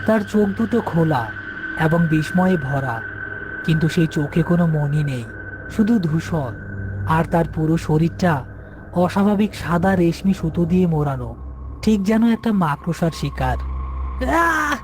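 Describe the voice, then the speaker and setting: A man's voice: fairly high pitch, moderate pace, background noise. A man narrating a scripted horror story as its storyteller. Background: background music.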